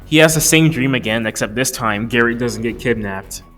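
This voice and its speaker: A man's voice: medium pitch, normal speed, fairly bright tone, faint music behind. A man narrating a casual, joke-filled video review in a wry, profane, mock-exasperated way. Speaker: movie reviewer.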